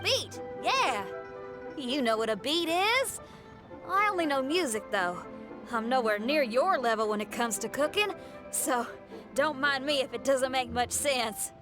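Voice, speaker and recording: A woman's voice: sits high, expressive, a little slow. A woman voicing an easygoing, upbeat, folksy young female musician in a video game. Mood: relaxed, modest. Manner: casual, folksy. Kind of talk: video game character voice acting. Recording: music playing behind the voice.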